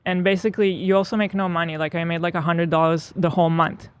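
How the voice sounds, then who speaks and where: A woman in her thirties from Israel, with an Israeli accent, telling stories in a relaxed podcast chat with many fillers. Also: fairly low pitch, fast, clear, resonant tone, no room sound. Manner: soft-spoken, storytelling with many fillers. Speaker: co-host.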